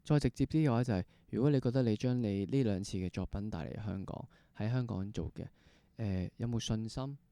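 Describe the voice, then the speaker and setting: A man's voice: lowish voice, a little fast, warm tone, dry recording. A man with a Hong Kong Cantonese accent interviewing conversationally, feeling his way through long, rephrased questions. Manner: exploratory, rephrases questions at length. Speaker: interviewer, podcast host.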